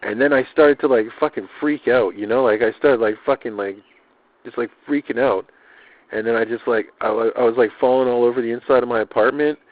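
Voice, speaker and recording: A man's voice: mid-range voice, steady tone, brisk, no room sound, narrow, tinny audio. A man keeping a casual audio diary, rambling in a loose, slangy way with swearing and repetition. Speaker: personal audio diarist.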